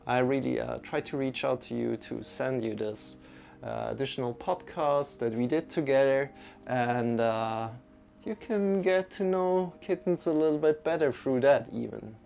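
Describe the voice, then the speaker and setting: A man's voice: mid-range voice, a little slow, creaky, a little mellow. A young man with a Swiss accent, hosting a live-streamed yoga class and greeting viewers in a loose, friendly way with frequent restarts. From Switzerland.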